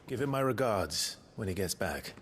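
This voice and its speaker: A British man playing a brooding, earnest young fugitive swordsman in a video game, soft-spoken, restrained and courteous. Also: on the low side, expressive, moderate pace, slight background noise. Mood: earnest, resolved. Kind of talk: dramatic video game acting. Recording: cinematic music behind the voice.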